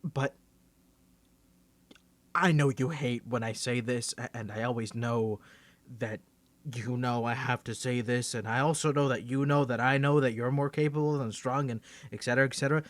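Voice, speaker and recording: A man's voice: mid-range voice, steady tone, no echo, voice pushed down, natural voice. A man playing a nervous, bantering young man with a secret superhero identity, stammering through an ASMR roleplay to the listener. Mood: earnest, worried. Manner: stammering, flustered, halting.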